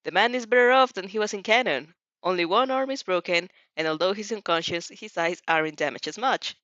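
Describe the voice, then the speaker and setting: A woman's voice: mid-range voice, a little fast, a bit bright, no room sound. Woman reading fanfiction, dull close recording. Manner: stumbles and rereads phrases. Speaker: fanfiction audiobook reader. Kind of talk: expressive amateur story reading.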